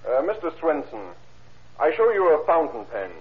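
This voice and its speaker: An actor playing a formal, methodical prosecutor questioning witnesses in an old-time radio courtroom drama. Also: male voice, a little high, big pitch swings, moderate pace. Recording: tinny, band-limited vintage radio recording.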